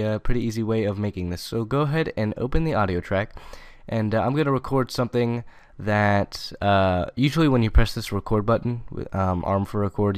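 Young guy doing a laid out software how-to, smooth lowish voice, dry close mic, chatty wording. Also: dry recording. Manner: informal, conversational. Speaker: tutorial host. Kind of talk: casual screen-recorded software tutorial.

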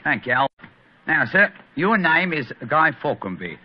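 A man doing a cheeky, servile cockney workman in an old radio play, dropping his grammar and calling everyone sir. The voice sits a touch high and sounds muffled and dated, like a vintage broadcast. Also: very animated, a little slow. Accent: London cockney put on. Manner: chirpy, deferential, dropped grammar. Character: Holmes disguised as a cockney plumbing inspector. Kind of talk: 1940s radio acting.

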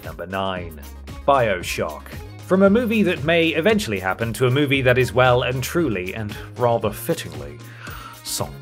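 A man with a mid-pitched voice reads a scripted countdown. His delivery is wry, dropping in tongue-in-cheek asides, and music plays underneath him. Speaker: presenter of a video game list show. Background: music bed.

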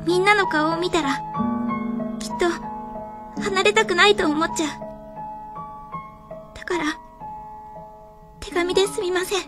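An actress playing a shy, apologetic high school girl in an earnest, tender radio drama monologue. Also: a little slow, pauses often, over music. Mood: grateful, apologetic.

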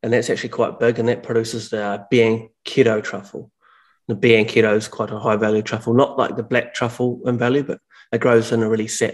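A man, a mushroom farmer chatting as a guest on a video call, explaining at length and often correcting himself mid-sentence. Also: mid-range voice. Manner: rambling, self-correcting explanations. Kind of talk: casual video call chat. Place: large bare indoor workshop room. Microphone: video call, headphones on.

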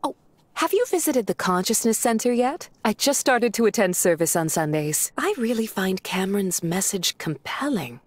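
A woman's voice: mid-range voice, lively intonation, normal speed, no echo, close to an everyday voice. A woman playing a keen, earnest village schoolteacher in a video game, with a persuasive manner. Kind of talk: video game character dialogue.

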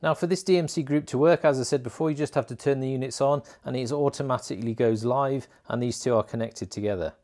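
A man's voice: medium pitch, fast, hardly any pauses, darkish tone. A man hosting a motorcycle gear video, walking through an informal product tutorial in a chatty, colloquial way.

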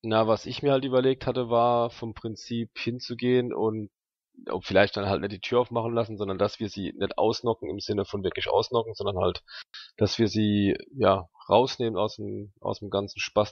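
A man improvising casual, slangy in-character dialogue as a tabletop role-player, haltingly thinking aloud. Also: lowish voice, steady tone, dry recording. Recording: dry, slightly dull sound.

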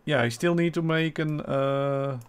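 A man's voice: a little high, measured pace, clean tone. A man with a Dutch accent chatting with viewers and teammates while streaming a game live, laughing often. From Netherlands. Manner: chatty, laughs often, reads chat aloud. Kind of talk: live gameplay chat.

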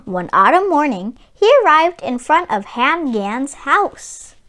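A woman reading a children's picture book aloud, with expressive, deliberate phrasing. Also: high-pitched. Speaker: storyteller and read-aloud channel host.

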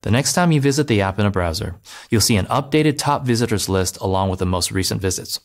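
A man giving a scripted, step-by-step narration of a software migration tutorial, speaking clearly and steadily as a voice-over. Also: fairly low pitch, animated, fast. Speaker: developer advocate. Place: voice-over over screen recordings.

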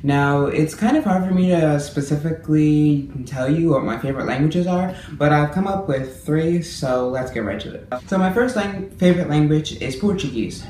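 A man's voice: a little high, normal speed, hardly any pauses, darkish tone. Young man, to-camera vlog, earnest and eager, roomy bedroom sound, faint hiss. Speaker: language vlogger. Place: bedroom, indoors. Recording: echoey room, faint room hiss.

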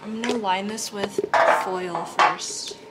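A young woman chats her way through making dinner in a small apartment kitchen, naming each ingredient as she goes. Her voice sits at a middling pitch and has an easy vlog tone. The recording picks up a loud bed of kitchen noise around her. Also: steady tone, slow. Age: young adult. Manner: chatty, narrating each step as she cooks. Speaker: vlogger. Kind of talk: casual cooking vlog narration.

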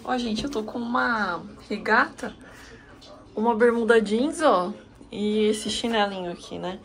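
A woman's voice: a little high, unhurried. Chatty woman in her thirties vlogging. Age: 30s. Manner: chatty, exclaiming, addresses viewers. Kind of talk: casual vlog chat to camera. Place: hotel room. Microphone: handheld camera.

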